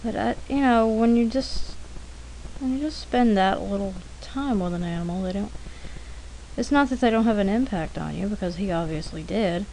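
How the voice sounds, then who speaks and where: A woman's voice: mid-range voice, normal speed, rough recording. A woman giving casual, rambling commentary over her art demo, chatty and self-deprecating, thinking aloud as she goes. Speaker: artist narrating own drawing demo. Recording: steady background noise.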